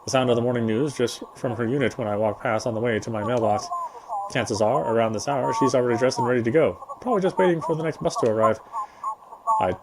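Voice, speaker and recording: A man reading a visual novel's story narration aloud as a gameplay streamer, in a measured way. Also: medium pitch, noisy background.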